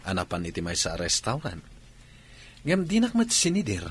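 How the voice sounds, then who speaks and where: An actor playing a smug, scornful and amorous cheating husband with a Filipino accent in an audio drama. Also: male voice, on the low side, wildly swooping pitch, normal speed, slightly rough, full character voice. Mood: defensive, scornful. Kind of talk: Ilocano audio drama acting.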